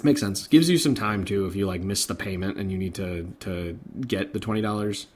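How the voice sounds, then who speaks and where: A man's voice: sits low, fairly quick, breathy edge. A man co-hosting a gaming podcast, explaining things in an easygoing, casual way. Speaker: podcast co-host.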